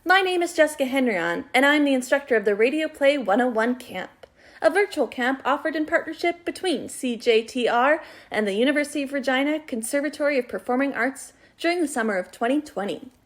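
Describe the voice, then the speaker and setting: A woman, the instructor of a radio camp, giving a clear, measured scripted introduction as the host of a community radio broadcast. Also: high-pitched, animated.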